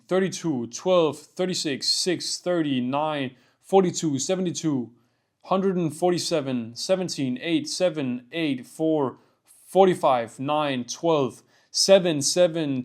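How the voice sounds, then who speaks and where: Young Danish man rambling casually. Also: fairly high pitch. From Denmark. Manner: rambling, swears casually, says "bro" and "like" a lot. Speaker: vlogger. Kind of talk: casual vlog monologue.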